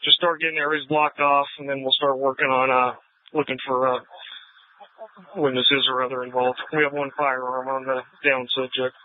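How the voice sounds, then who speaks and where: A man working as a police officer at a scene, giving halting, clipped orders over police radio. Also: mid-range voice, little pitch movement. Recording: phone-like police scanner radio audio.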